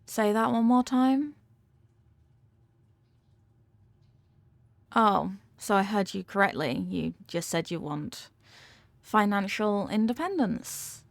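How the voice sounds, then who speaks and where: A woman's voice: medium pitch, lively intonation, measured pace, vocal fry, no echo. A woman acting a possessive, manipulative rich young girlfriend in an intimate audio roleplay, talking down in a coaxing, teasing way with veiled threats. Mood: condescending, controlling.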